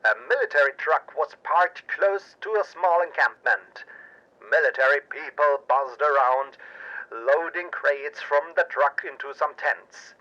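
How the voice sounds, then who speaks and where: A man narrating a pulp audio drama in a theatrical, suspenseful and deliberate way. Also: on the high side, sharp tone, lo-fi sound. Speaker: narrator and storyteller.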